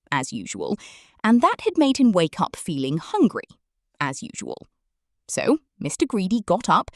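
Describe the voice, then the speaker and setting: A woman narrates a children's storybook with a very lively, swooping delivery. She takes her time and leans on the descriptive words. The sound is close and dry. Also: fairly low pitch, dry recording. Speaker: storyteller reading a children's book aloud. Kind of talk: expressive scripted storybook narration.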